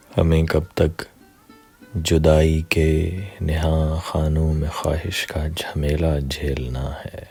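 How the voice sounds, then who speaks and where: A man reciting poetry in a measured, deliberate, dramatic way, pausing between short phrases. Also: a bass voice, gravelly, darkish tone.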